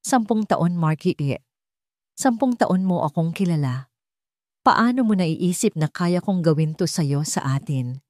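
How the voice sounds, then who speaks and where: A woman's voice: fairly low pitch, lively intonation, measured pace, darkish tone, dry recording. A desperate wife in an audio drama , acted by a woman narrator, pleading against an accusation. She sounds emotional and wounded. The recording is dry. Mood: tearful protest.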